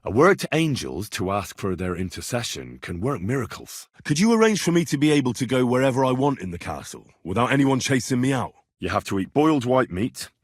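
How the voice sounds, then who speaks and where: An actor playing a respectful, earnest young vagabond who poses as a learned medieval healer in a video game, speaking in measured, formal address. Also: male voice, medium pitch, big pitch swings, slightly rough, no echo, studio quality. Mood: humble, earnest. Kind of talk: scripted video game dialogue.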